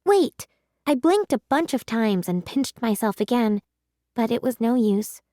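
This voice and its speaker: A woman narrating a children's story aloud in a deliberate, expressive way. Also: a little high, no echo, clean studio sound.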